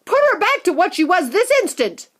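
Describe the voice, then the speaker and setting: A frantic mother shrieks at the top of her range, her voice leaping wildly and shrill. It is storybook acting, loud and piercing. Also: very animated, unhurried, full character voice. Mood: hysterical. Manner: screaming, shrill. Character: shrieking panicked mother, middle-aged woman.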